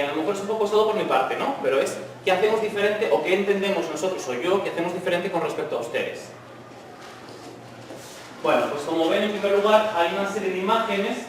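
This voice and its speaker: A man's voice: on the high side, recorded from a distance, a little hiss or hum. A man speaking as a conference lecturer to students, in an informal way with frequent tag questions, inviting the audience to interrupt. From Spain. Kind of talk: university lecture talk. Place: lecture hall. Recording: echoey hall, voice from afar.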